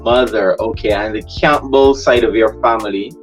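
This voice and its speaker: Deep-voiced man hosting. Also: moderate pace, soft background music. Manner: inquisitive, steering the guest with repeated questions. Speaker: host. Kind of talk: interview hosting.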